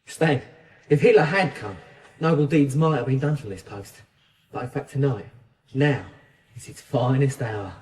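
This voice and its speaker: An actor playing an edgy, defensive small-time criminal in a radio drama, with an excitable, protesting manner. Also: male voice, medium pitch, normal speed, far from the mic, close to an everyday voice. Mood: gloating. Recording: echoey, reverberant, off-mic radio drama scene.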